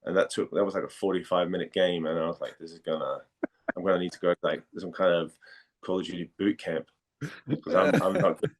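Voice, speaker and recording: A man in a casual interview, telling stories in a chatty, halting way and often rephrasing as he goes. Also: deep voice, fairly even intonation. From Denmark. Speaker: voice actor and model, interview guest.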